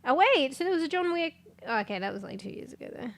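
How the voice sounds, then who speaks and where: A congested woman chatting casually on a livestream, rambling, swearing and breaking into sudden loud exclamations as she reads things aloud. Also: high-pitched, very animated, fast, vocal fry. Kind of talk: casual stream chat, reading aloud.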